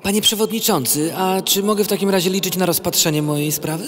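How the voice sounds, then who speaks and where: A man's voice: sits high, fast, a bit bright, long reverb tail. An actor playing an ambitious young male mosquito politician in an audio drama, formal, polite and precise. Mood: insistent, measured.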